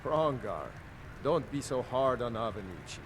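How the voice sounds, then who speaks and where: An actor playing a grave Nord ruler in a fantasy video game, measured and authoritative. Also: male voice, mid-range voice, sing-song, close to an everyday voice. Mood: solemn.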